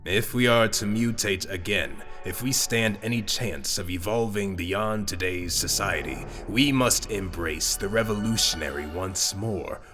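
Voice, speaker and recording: Fervent male researcher-orator for fiction podcast. Deep, intense voice, grave and deliberate lecture style, soft music underneath.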